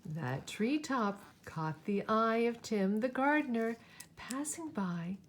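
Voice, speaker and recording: A woman reading a picture book aloud to children in an expressive, dramatic way. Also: on the high side, slow, slight background noise. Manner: animated, dramatic, with asides to listeners.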